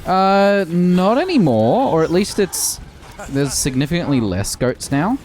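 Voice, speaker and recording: A man's voice: sits high, sing-song, measured pace, a little mellow. A man, a game streamer, chatting casually while he plays. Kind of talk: casual chat while gaming. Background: game music.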